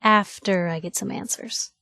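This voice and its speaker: A woman's voice: mid-range voice, fairly even intonation, moderate pace, bright, forceful tone, no echo, clean studio sound, close to an everyday voice. An actress playing a spirited, nosy young woman reporter in a video game, talking in a wry, inquisitive, punchy and colloquial way. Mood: probing, coy. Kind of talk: video game companion dialogue.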